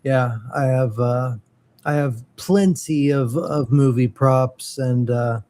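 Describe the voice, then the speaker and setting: A man's voice: mid-range voice, slow, mellow. A middle-aged man in casual geeky chat, enthusiastic, rambling and self-deprecating as he co-hosts a show. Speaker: co-host of a morning show.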